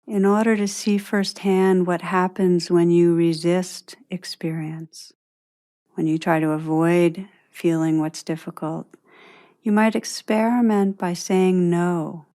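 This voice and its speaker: A woman narrates a guided meditation in a somewhat low voice. She speaks very slowly and deliberately, with a gentle delivery and long, open pauses between her phrases. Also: steady tone.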